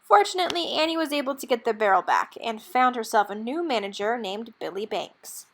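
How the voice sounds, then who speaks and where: A woman reading a children's picture book aloud in an expressive, measured storytelling manner. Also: fairly high pitch, a little hiss or hum. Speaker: storybook reader.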